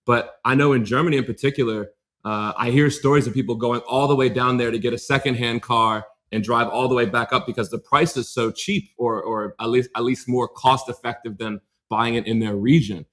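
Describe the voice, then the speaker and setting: A man's voice: medium pitch, fairly even intonation, fairly quick, fairly bright tone. American man hosting a casual podcast. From United States. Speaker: podcast host. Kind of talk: casual interview chat.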